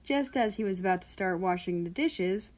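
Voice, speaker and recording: Woman, story narrator, mid-pitched voice, expressive read-aloud delivery. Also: normal speed. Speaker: fan fiction reader.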